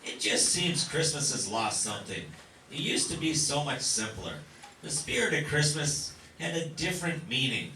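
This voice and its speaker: Man playing a bright-voiced, animated radio dad. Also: a little high, normal speed, exaggerated voice. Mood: wistful. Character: stubborn, nostalgic middle-class family father. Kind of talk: old-time radio comedy acting. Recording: roomy, distant mic, faint noise.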